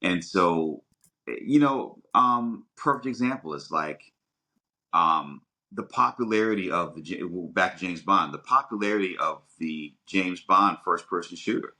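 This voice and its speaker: A man's voice: sits low, measured pace, pushed and bright. A middle-aged man co-hosting a podcast, talking casually and animatedly, often repeating words in bursts as he works out a thought. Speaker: podcast co-host. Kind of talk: casual conversational commentary.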